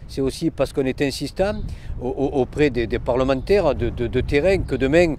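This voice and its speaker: A man with a medium-pitched voice speaks as a local elected official in a news interview. His delivery is earnest and formally worded, and he often hesitates as he builds his sentences. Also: moderate pace, darkish tone, slight background noise.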